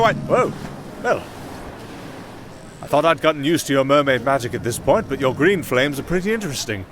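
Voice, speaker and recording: A man's voice: on the high side, a bit bright, a little hiss or hum. A man playing a roguish, wry musician turned makeshift captain in an ASMR fantasy roleplay, chatting to a companion. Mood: calling out, then scheming. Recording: clear outdoor sea ambience behind.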